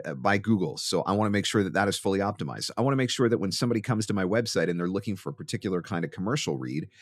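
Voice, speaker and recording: A man's voice: low voice, no room sound, clean studio sound. A man hosting an instructional podcast, speaking emphatically and repeating phrases to drive points home. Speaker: podcast host and voice actor.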